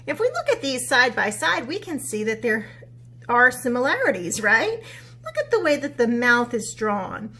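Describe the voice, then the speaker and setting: A woman with a high, bright voice addresses children as their art teacher and story reader. Her delivery is expressive and encouraging, guiding listeners along step by step. There is faint noise behind her. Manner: encouraging, expressive, asks checking questions. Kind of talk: animated read-aloud and step-by-step instruction for children.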